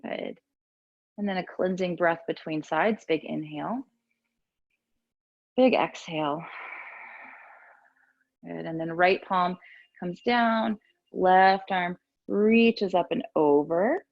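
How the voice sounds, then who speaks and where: A woman teaching yoga and meditation, giving gentle, soothing, unhurried guidance with pauses between instructions. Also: on the low side, vocal fry, no room sound, muffled recording.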